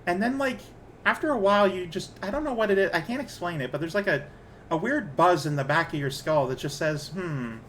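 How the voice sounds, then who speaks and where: Guy rambling on stream, kinda high voice, chats away, faint hiss behind. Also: expressive, a little fast, fairly bright tone. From Canada. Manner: rambling, chatty, restarts a lot. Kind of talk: casual chat while gaming.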